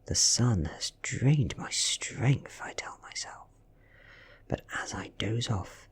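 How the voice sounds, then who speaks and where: A British man reading a story in a soft ASMR style, half-whispered and breathy, with deliberate dramatic pauses. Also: fairly low pitch. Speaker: audiobook reader.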